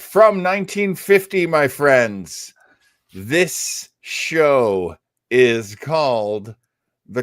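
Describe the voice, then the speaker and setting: Male radio co-host, unhurried and very animated in pitch, casual, playful and halting, closely recorded and dry. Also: no room sound. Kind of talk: casual chat introducing old-time radio.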